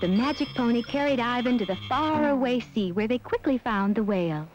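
A woman's voice: a little high, lively intonation, a little fast. A woman storyteller reading scripted narration for a children's fairy tale. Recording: dull lo-fi soundtrack with music behind. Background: background music.

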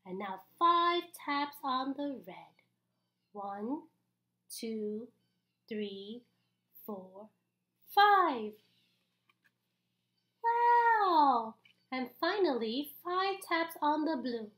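High, animated young woman reading to children. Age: young adult. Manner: animated, exaggerated, encouraging, with audible gasps and pauses. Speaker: story-time host reading a picture book aloud. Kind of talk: interactive read-aloud for young children. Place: small indoor room.

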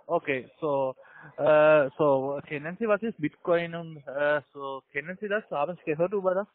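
High-pitched man with foreign accent, phone. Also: no room sound. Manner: halting, broken non-native grammar. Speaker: telemarketer. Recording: tinny narrow phone line.

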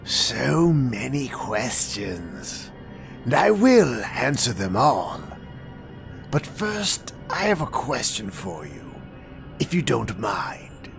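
An actor playing a calm, courteous male vampire with a thin, dry voice, speaking slowly and with polite menace in a fan audio drama. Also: medium pitch, vocal fry, over music, full character voice. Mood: measured. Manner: slow, measured and politely menacing.

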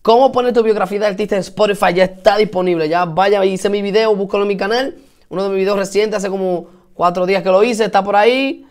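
A Dominican man with a fairly high voice for a man, talking casually on a live stream from home. He is chatty and upbeat, greets his viewers by name and answers their comments, and he repeats his points for emphasis. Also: fast talker. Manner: lively and encouraging, repeats himself for emphasis. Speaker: host of a live stream for musicians.

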